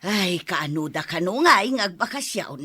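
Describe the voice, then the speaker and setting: An elderly mother in a radio drama, bright and clear-cut in tone. She fusses affectionately over her children, recorded close and dry. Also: lowish voice, expressive, moderate pace, no room sound, full character voice. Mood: fussing.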